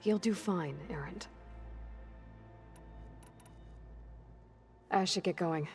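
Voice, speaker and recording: A woman's voice: on the low side, normal speed, lightly acted. A woman playing a determined, wry young hunter heroine in a video game, speaking in a matter-of-fact, dry way. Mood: wry, caring. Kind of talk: video game cutscene acting. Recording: background music under the voice.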